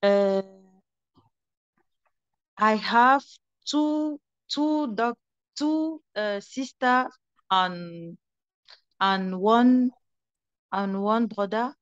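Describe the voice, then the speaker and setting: A woman's voice: fairly high pitch, smooth. Hesitant Cameroonian woman learning English. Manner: hesitant, halting, repeats corrections, drops into French. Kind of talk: practicing spoken English in a lesson. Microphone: video call. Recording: muffled video call audio.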